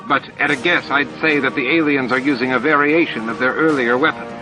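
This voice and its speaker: A man's voice: mid-range voice, steady tone, fairly quick, music in the background, pitched above the performer's own voice, close to an everyday voice, poor audio. A man voicing a nervous male alien officer in a science fiction audiobook, explaining tactical readings to his superior.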